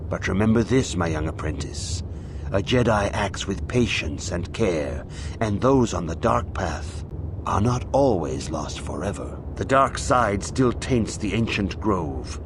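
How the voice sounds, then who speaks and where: A man playing a grave Jedi master in a video game, instructing an apprentice in a measured, formal manner. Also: deep voice, animated, background noise. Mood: solemn, grave. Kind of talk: scripted game dialogue, solemn instruction.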